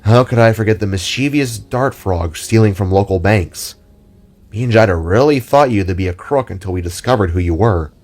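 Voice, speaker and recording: A man's voice: on the low side, moderate pace, darkish tone, lightly acted. A man voicing a wry, loyal male hero who speaks briefly and reassuringly. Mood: teasing. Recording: music under the voice.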